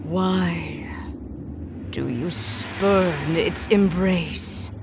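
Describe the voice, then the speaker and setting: Very slow, low-voiced dark spirit. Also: female voice, background noise. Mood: cold, commanding. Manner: solemn, deliberate, archaic phrasing. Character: eternal dark spirit, solemn, menacing, seductively calm. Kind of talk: video game villain monologue.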